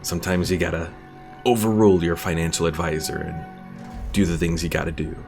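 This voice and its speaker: A gravelly man you would hear on a late live stream, chatting through his strategy game over clearly audible music. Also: low voice, level tone, brisk. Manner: chatty, thinking aloud, reading out chat. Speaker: gaming streamer. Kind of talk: casual live gameplay commentary. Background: video game music.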